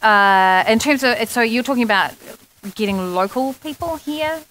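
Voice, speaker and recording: A woman comedian answering an audience question on a panel, relaxed and full of ums. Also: a little high, normal speed, slight background noise, low-quality recording.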